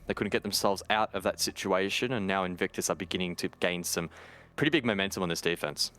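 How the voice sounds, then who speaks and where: Deep-voiced man calling esports play-by-play. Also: a bit bright. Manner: brisk, rises in pitch at key plays. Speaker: esports caster. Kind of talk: play-by-play commentary.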